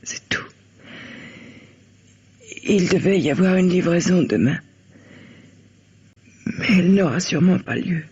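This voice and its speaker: A woman's voice: lowish voice, expressive, a little slow, long pauses, darkish tone, husky, full character voice. An actress playing a desperate female accomplice in a vintage French radio crime drama, with a dramatic delivery. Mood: weak, halting. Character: desperate female accomplice in a drug affair, pleading and threatening. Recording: dull lo-fi old radio recording.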